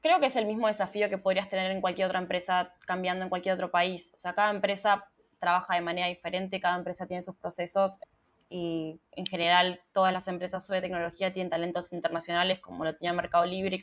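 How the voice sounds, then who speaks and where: A woman's voice: on the low side, little pitch movement, quick pace. A young Argentine woman, a UX writer, answering interview questions in a casual, thoughtful way with many restarts. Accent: Argentine Spanish.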